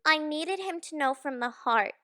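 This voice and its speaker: Very high, bright lovestruck cartoon heroine played by a woman. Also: expressive, normal speed. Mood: relieved. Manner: earnest, gushing. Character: sweet, lovestruck girlish cartoon hedgehog heroine. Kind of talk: fan audio drama acting.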